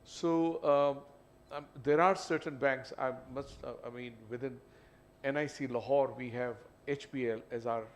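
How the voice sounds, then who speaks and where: A man's voice: medium pitch, steady tone. A man with a Pakistani English accent, speaking on a business panel and often saying "you know" as he halts. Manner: halting, with frequent "you know". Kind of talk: panel discussion. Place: event hall. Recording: faint background noise.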